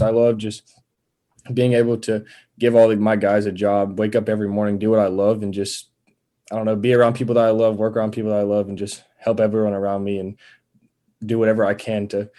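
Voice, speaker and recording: A man's voice: fairly low pitch, dark tone. A young man speaking as a podcast guest, chatting in an informal, earnest way. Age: young adult. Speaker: podcast guest, landscaping business owner. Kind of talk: casual chat.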